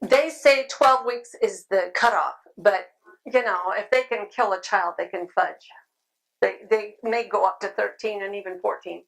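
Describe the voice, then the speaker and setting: A middle-aged woman speaking at a convention, chatty and loud-voiced, laughing often as she answers questions. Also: medium pitch, moderate pace, vocal fry, poor audio. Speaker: convention speaker on sidewalk counseling. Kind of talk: informal talk with audience questions. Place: convention room.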